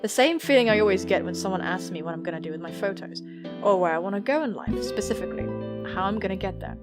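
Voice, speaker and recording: A woman's voice: medium pitch, animated, fast talker, over loud music, close to an everyday voice. A woman voicing an earnest, nervous student girl who narrates her thoughts in a visual novel game.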